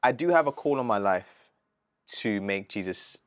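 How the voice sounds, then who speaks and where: Earnest British man, low dry voice. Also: moderate pace, clean tone, no echo. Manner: earnest, animated, slangy, says bro a lot. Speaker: gospel artist, interview guest. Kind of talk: casual interview chat.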